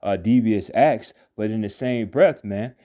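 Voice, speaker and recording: A man teaching scripture in a casual, rambling way, full of fillers and restarts, saying "man" after many phrases and reading verses slowly. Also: lowish voice, warm tone, highs cut off. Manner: reads verses slowly and deliberately. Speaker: street preacher. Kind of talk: informal scripture teaching over a screen recording. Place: not seen, voice-over on a phone screen recording.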